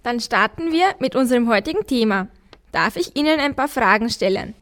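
A woman's voice: fairly high pitch, lively intonation, fairly quick, a bit bright. A young woman hosting a school radio interview, politely reading out her questions. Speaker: student radio host.

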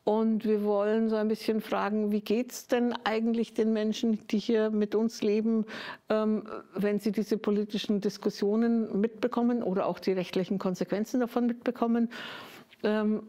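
A woman leads the interview in a mellow, relaxed voice. She asks long, careful questions and pauses often to find her words. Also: mid-range voice. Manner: careful, hesitant, many fillers. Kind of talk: radio interview.

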